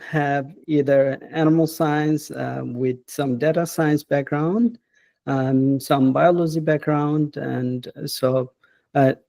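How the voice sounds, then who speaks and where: A man, a professor talking as an interview guest in an unhurried, hesitant way and often adding "right?". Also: fairly high pitch, vocal fry, warm tone, no echo. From Bangladesh. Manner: unhurried, hesitant, with frequent "uh" and tag questions like "right?". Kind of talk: casual interview.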